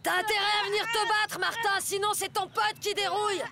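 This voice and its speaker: A woman's voice: very high voice, fairly even intonation, fairly quick, heavy background noise. A woman playing a cocky, menacing schoolboy bully in a cartoon, with a taunting, slangy delivery. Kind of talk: French cartoon dubbing. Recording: loud music behind the voice.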